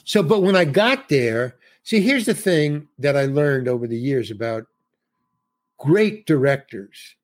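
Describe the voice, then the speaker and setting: A man's voice: medium pitch, animated, a little slow. A man, an older actor, telling a rambling showbiz anecdote in a casual interview, restarting sentences and acting out quotes. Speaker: guest actor.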